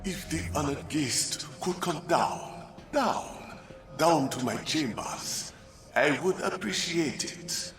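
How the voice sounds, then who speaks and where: A man's voice: on the high side, lively intonation, takes it slowly. A man playing an eccentric, courteous mystic elder in a video game, with a ceremonious, teasing manner. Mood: playful, welcoming. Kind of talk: theatrical video game acting. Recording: prominent background music.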